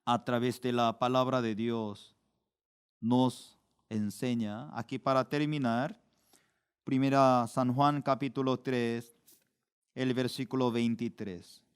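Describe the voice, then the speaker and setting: A middle-aged man, preaching a sermon in a slow, deliberate way, with sudden loud rises for emphasis. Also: medium pitch, fairly even intonation, clean tone. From Korea. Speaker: pastor.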